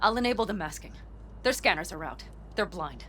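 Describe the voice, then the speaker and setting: An actress playing a fiery, tough young nomad driver in a video game, with a blunt, urgent, hard-swearing delivery. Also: female voice, lowish voice, animated, brisk, sharp tone, a little hiss or hum. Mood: tense, focused. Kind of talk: dramatic video game voice acting.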